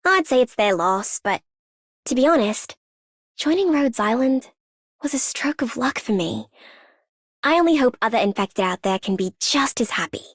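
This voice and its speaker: A woman's voice: on the high side, animated, moderate pace, dry recording, studio quality. A woman voicing a frank, contented young hunter in a video game. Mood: frank, hopeful. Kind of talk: scripted game character voice lines.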